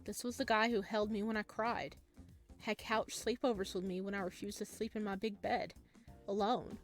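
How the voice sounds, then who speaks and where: A woman's voice: medium pitch, normal speed. A woman hosting a gaming video, reading aloud and reacting expressively in a casual way. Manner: expressive, exclamatory. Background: soft game music.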